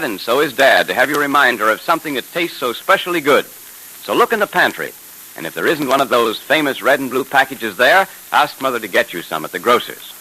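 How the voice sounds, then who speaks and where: A man speaks as a 1930s radio announcer over audible hiss. His pitch moves in lively swings and his tone is bright and forceful. He sells and narrates with emphatic polish. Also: rough recording. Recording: hissy 1930s radio broadcast recording.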